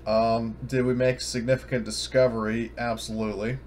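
A man's voice: mid-range voice, flat delivery, normal speed, darkish tone, a little hiss or hum. A man chatting casually and swearing freely during an online tabletop roleplaying game session. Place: online voice call, indoors.

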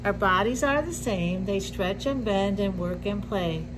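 A middle-aged woman reading a picture book aloud to young children, with deliberate simple phrasing and little asides. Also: medium pitch, noisy background. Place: indoor classroom with sink and counter.

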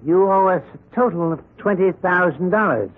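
An actor playing a smug, mock-courteous, husky-voiced crooked credit boss in a 1970s radio drama. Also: male voice, high voice, moderate pace. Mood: smug, mock-polite. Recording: thin lo-fi vintage radio recording.

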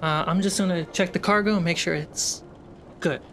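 A man running a tabletop game as game master, narrating scenes in an unhurried way. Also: sits high, steady tone, a bit bright, a little hiss or hum.

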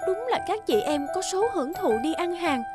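A woman voicing an emotional working wife and mother in a cartoon, with an expressive, plaintive delivery. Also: extremely high pitch, normal speed. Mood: wistful. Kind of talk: animated story voice acting. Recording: background music.

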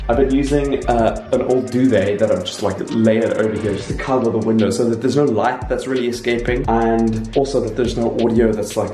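A South African man vlogs in an informal, rambling way, talking to a camera held at arm's length. His voice sits at a middling pitch, and soft music plays behind him. He sounds like he is thinking out loud to his viewers. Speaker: gaming vlogger and host. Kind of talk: casual vlog chat to camera.

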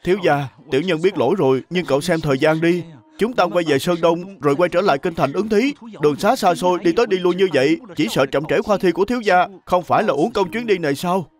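An actor playing a young, deferential manservant, humble and earnest, in an animated drama. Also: male voice, high-pitched, fast, lightly acted. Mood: apologetic, earnest.

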